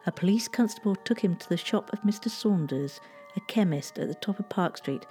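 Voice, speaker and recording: A woman's voice: medium pitch, animated, fairly quick, mellow, faint music behind. A woman reading scripted historical narration as a storyteller.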